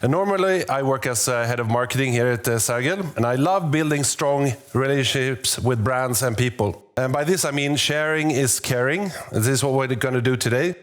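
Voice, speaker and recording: A man's voice: mid-range voice, moderate pace, few pauses. A man opening an event as its moderator, welcoming and upbeat. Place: office event space. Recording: faint background music.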